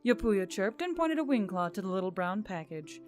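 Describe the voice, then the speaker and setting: A woman's voice: medium pitch, very animated, normal speed, darkish tone, faint music behind. A woman reading scripted fiction as an audiobook-style narrator.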